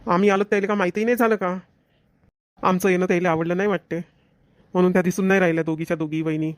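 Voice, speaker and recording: Cartoon son-in-law played by a man, earnest but easily slighted, high male voice, quick Vidarbha Marathi, dry sound. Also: steady tone, dry recording, close to an everyday voice. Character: concerned, touchy son-in-law visiting his wife's family. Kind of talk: family comedy cartoon dialogue.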